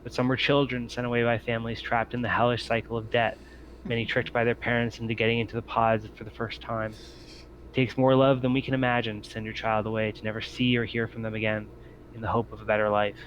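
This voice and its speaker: A man's voice: medium pitch, flat delivery, quick pace, a bit bright. A man acting as game master, speaking to players about a tabletop roleplaying game over a group voice call. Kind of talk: casual explanation and scene narration for a tabletop game. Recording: muffled lo-fi voice chat, clear noise.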